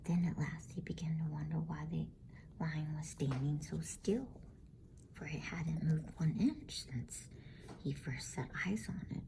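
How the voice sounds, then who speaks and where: Slow whispering woman reading a bedtime story. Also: low voice, vocal fry, background noise. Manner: hushed, unhurried, soothing whisper. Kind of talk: ASMR whispered storybook narration.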